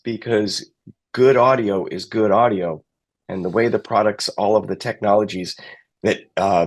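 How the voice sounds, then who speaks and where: Low-pitched middle-aged man, conversational. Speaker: senior technical sales manager. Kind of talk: conversational interview answers.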